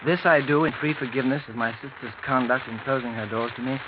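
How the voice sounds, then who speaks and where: An actor playing a courteous, thoughtful young English gentleman in old-time radio drama, speaking in a polite, measured, reflective way. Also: medium pitch, fairly even intonation, background noise, close to an everyday voice. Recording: muffled vintage radio recording.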